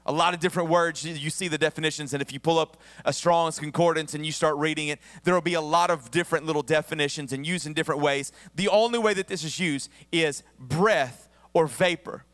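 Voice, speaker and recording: A man's voice: a little high, fairly quick, hardly any pauses, fairly bright tone, no echo, big-room sound. A male pastor with a Southern American accent preaching a conversational sermon with humorous asides, his voice a bit worn. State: voice somewhat worn, losing his voice. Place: church sanctuary.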